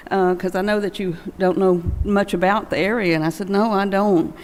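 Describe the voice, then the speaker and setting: Older woman, folksy informal storyteller at a remembrance, average pitch, long church reverb. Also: steady tone, normal speed, darkish tone. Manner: folksy, anecdotal. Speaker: friend giving a remembrance. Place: large church, at a memorial gathering. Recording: long echo of a large church hall.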